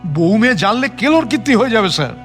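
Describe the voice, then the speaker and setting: A middle-aged film producer, high and gravelly for a man. He is self-important and swings widely in pitch, radio-drama style over music. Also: sing-song, fast talker, fairly bright tone, full character voice. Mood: cowed, pleading. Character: blustering, self-important male film producer who turns grovelling.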